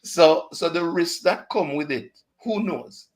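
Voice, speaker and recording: A man's voice: on the high side, lively intonation, a little slow. A man with a Jamaican accent hosting a chat show, talking casually, slipping into patois and laughing often. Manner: chatty, mixes patois into speech, laughs often. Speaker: radio show host.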